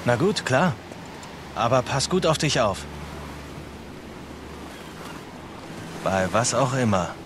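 An actor playing a confident, protective adventurer with a casual, dryly joking delivery in a German video game dub. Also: male voice, medium pitch, sing-song, moderate pace, noisy background, close to an everyday voice. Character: wry adventurous male treasure hunter, protective and confident.

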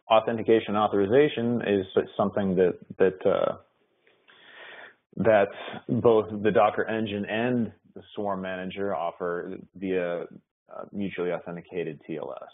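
A man presenting benchmark results at an online meetup, talking in a halting way with many fillers and restarts. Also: low voice, gravelly. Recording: tinny phone-like web call audio.

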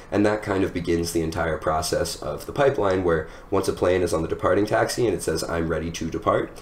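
Very deep-voiced young man, echoey. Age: 20s. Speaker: software engineer teaching a design lesson. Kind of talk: casual technical explanation over slides. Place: small room at home, indoors. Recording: echoey room with background noise.

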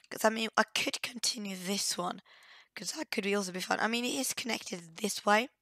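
Hoarse woman with a bright voice. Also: mid-range voice, no echo. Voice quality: croaky from a cold. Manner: hesitant, thinking aloud. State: hoarse with a cold. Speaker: gaming video host. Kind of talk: casual let's play commentary.

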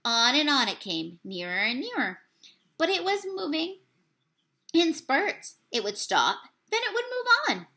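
Young female teacher, webcam read-aloud at home; lively, bright storyteller who drops to a hush for suspense. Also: high-pitched, measured pace. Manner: expressive storytelling, swells and hushes for suspense; apologizes for slips and losing her place. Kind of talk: children's book read-aloud. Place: small room at home, plain wall behind. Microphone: webcam at desk distance.

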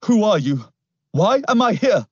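A man voicing a frightened, defiant teenage boy who shouts in alarm. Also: high-pitched, sing-song, measured pace, husky, dry recording, lo-fi sound, pitched above the performer's own voice, full character voice. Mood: panicked defiance.

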